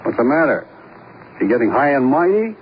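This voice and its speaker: A man's voice: on the high side, very animated, fairly quick. An actor playing a defensive, boastful married man with an American accent and slangy, protesting speech in a 1950s radio crime drama. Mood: sneering, defensive. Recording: muffled, hissy old radio broadcast.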